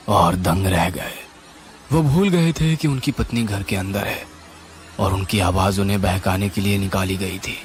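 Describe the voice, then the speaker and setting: Low, animated man narrating horror over music. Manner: suspenseful, theatrical. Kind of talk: dramatic scripted narration.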